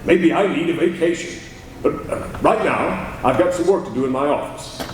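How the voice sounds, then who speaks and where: A man's voice: a little high, moderate pace, lightly acted. A man playing a mild-mannered, evasive newspaper reporter in an old-time radio drama, polite and downplaying. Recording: very echoey room, faint noise.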